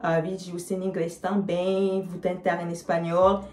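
Slow, hesitant woman with French accent. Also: on the low side, flat delivery, a little mellow, reverberant, soft background music. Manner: hesitant, many fillers, switches into English. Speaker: vlogger. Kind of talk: casual chat to camera, answering comments. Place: small indoor room.

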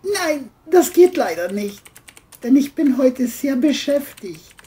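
Woman putting on a squeaky, mock-polite male killer. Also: sing-song, slow, mellow, full character voice. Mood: smug, dismissive. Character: polite, brazenly lying male killer, high-voiced.

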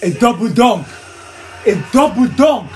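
Young male reactor, high-pitched, excitable and slangy, faint music underneath. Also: unhurried. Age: young adult. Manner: excitable, slangy, repeats phrases. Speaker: reaction show host. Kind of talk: casual group reaction chat. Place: indoors on a sofa in a living room.

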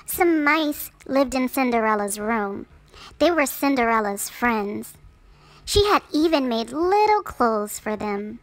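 A woman's voice: fairly high pitch, lively intonation, slow pace, low-quality recording. A woman narrating a children's storybook aloud in careful, simple phrases for young listeners. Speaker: storybook reader.

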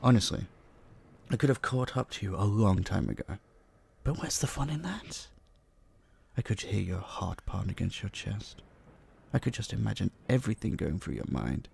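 A man playing an obsessive, possessive vampire captor in an intimate audio drama, hushed, teasing and dominant, menacingly sweet, dipping into whispers. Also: lowish voice, expressive, normal speed, vocal fry, breathy edge, heavily acted. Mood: teasing menace. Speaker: voice actor. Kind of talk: intimate roleplay audio drama, addressing the listener.

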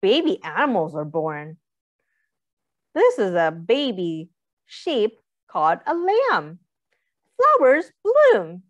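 Young lady doing a slow kids read-aloud, very up and down pitch, teacher style, bit lo-fi webcam sound. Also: dry recording. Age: young adult. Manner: expressive, simple explanatory asides with questions to young listeners. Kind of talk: picture-book read-aloud for young children. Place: small indoor room, seated.

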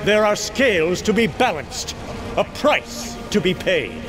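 A man's voice: sits high, big pitch swings, unhurried, over music. A man playing a noble, anguished alien herald, delivering solemn, archaic, theatrical declamation in a comic-book drama. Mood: sorrowful resolve.

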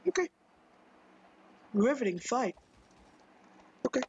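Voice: medium pitch, very animated, slow pace, darkish tone. Playful streamer voice for casual game commentary, dull lo-fi capture with faint noise. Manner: playful, jokey, sings snatches. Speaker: gaming streamer.

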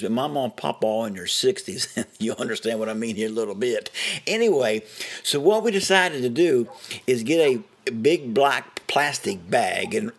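A middle-aged or older man with an Appalachian American accent, telling a story in a homespun way and prone to chuckling. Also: medium pitch. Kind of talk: folksy first-person storytelling.

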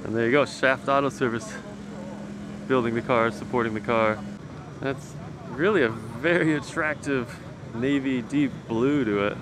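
A man's voice: medium pitch, unhurried. Enthusiastic man vlogging in outdoor noise. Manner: enthusiastic, informal, slangy. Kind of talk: casual walk-around car commentary. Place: outdoors in a circuit paddock. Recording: noisy outdoor car paddock ambience.

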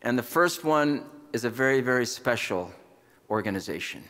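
A man's voice: mid-range voice, lively intonation, fairly bright tone. A middle-aged man hosting an awards dinner, speaking emphatically from a podium in a large banquet hall. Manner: emphatic, slow, builds to proud introductions. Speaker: event host presenting an award. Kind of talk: rousing speech at a podium. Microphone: podium microphone. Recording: long hall reverb with faint crowd.

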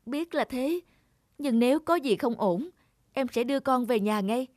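Casting: anxious, protective young mother, cartoon drama, slightly high, dry sound. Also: lively intonation, measured pace, a little mellow, no room sound. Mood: worried. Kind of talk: dubbed cartoon drama dialogue.

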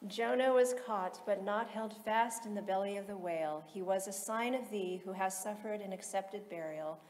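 A woman reading solemn liturgical texts aloud in a measured, formal, chant-like delivery. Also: medium pitch. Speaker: liturgical reader in church. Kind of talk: solemn chanted recitation of psalms and hymns. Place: large reverberant interior. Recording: echoey reverberant hall, loud background noise.

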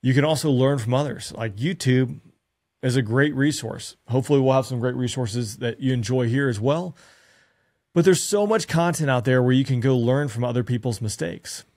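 A man's voice: mid-range voice, normal speed, a little mellow. A man in his thirties, a former fighter pilot, giving pilots earnest conversational advice to camera, often asking himself rhetorical questions. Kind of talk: direct-to-camera instructional talk. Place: small dark studio room. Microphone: studio mic on stand in front of him.

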